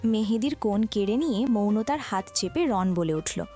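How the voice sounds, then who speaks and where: A woman's voice: medium pitch, expressive, moderate pace, mellow. A woman reading scripted story narration as the narrator. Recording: music behind the voice.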